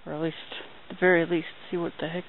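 A young man narrating a night hike outdoors into a handheld camera, chatty and excited, with mild minced oaths. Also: a little high, quick pace. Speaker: hiking vlogger. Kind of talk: hushed running commentary while filming. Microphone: handheld camera mic. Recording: tinny lo-fi camera audio with hiss.

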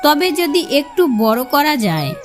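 Woman reading a kids fable, slow n very expressive pitch, highish voice, faint music behind. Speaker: storyteller. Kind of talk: scripted children's fable narration.

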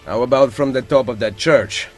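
A man's voice: medium pitch, moderate pace, a little mellow, soft background music, close to an everyday voice. An actor with an Italian accent playing a stern, dry-witted assassin nobleman in a video game cutscene. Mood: calm. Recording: game soundtrack behind voice.

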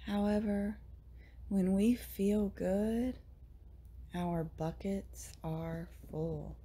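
A woman leading a guided meditation in a soft, breathy, often near-whispered voice, gently soothing, with long pauses between short phrases. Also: medium pitch, fairly even intonation. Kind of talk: guided meditation, slow and hushed.

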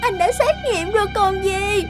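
Woman doing a whiny young mistress, very high. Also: normal speed, over loud music. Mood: pleading. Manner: pleading, high and sugary. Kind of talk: cartoon dub acting.

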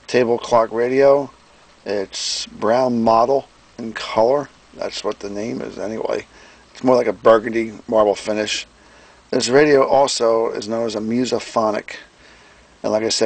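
A man giving a casual hands-on product demonstration as presenter, plain-spoken and folksy, often clearing his throat. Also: on the low side. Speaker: presenter, radio restorer and seller. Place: indoor workbench.

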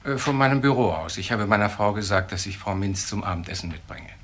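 An actor playing a composed, authoritative professor in a radio crime drama, speaking formally with measured pauses. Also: male voice, fairly low pitch, animated, a little hiss or hum, lightly acted. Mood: controlled, grave. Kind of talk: naturalistic German radio crime drama acting.

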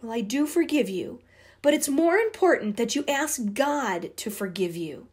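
A woman voicing a patient older brother who gently reproaches his sisters. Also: a little high, expressive, normal speed, natural voice. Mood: gentle.

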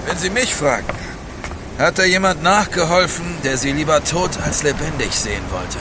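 An actor playing a grave, suspicious shipmate in a German audio drama. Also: male voice, on the high side, moderate pace, very noisy, close to an everyday voice. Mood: grimly suspicious. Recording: very loud sea effects behind.

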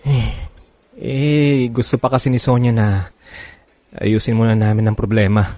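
A man's voice: mid-range voice, flat delivery, a little slow, dark tone, dry recording, close to an everyday voice. A man playing an evasive married lover in a radio drama, hesitant and placating as he soothes his girlfriend. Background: background music.

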